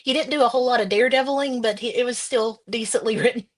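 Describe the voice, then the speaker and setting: A woman's voice: on the high side, fast talker. A woman guest author answering interview questions in a casual, hesitant way. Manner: hesitant, many ums.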